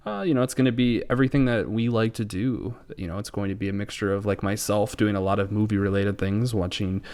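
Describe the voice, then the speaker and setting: A man's voice: on the low side, steady tone, fast, a little mellow, clear, resonant tone. A man co-hosting a podcast in casual chat, joking in a self-deprecating way. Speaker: podcast co-host.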